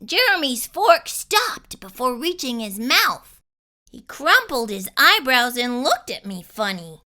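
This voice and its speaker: A woman narrates a children's storybook in a high, very bright voice. Her pace is slow and deliberate, with animated, emphatic phrasing made for young listeners. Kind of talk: expressive read-aloud narration for kids.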